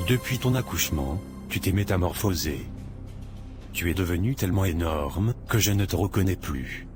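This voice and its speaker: Man playing a harsh, deep-voiced, contemptuous husband. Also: expressive, moderate pace, music in the background. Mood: cold contempt. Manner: harsh, commanding, insulting. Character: cruel, contemptuous husband throwing out his wife. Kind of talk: animated moral drama acting.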